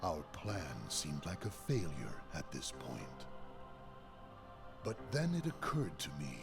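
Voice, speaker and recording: An actor playing the cold, calculating leader of a secret organization in a video game, speaking in a formal, commanding way. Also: male voice, low-pitched, exaggerated voice. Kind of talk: scripted video game cutscene acting. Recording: game cutscene audio with music.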